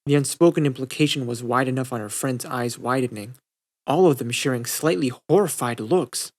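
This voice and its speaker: A man's voice: medium pitch, moderate pace. Man, audiobook narrator, scripted fiction reading, storytelling delivery.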